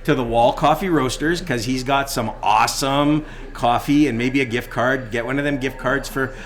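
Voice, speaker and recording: A man's voice: mid-range voice, moderate pace, fairly bright tone. A middle-aged or older man with a Canadian accent co-hosting a casual podcast chat in an exuberant, jokey, exclamatory way. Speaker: podcast co-host. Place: coffee shop.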